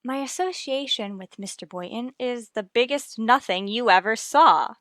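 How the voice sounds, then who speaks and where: An actress playing a wry, wisecracking English teacher in a vintage radio comedy, delivering sardonic, deadpan quips. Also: female voice, a little high, moderate pace, lightly acted.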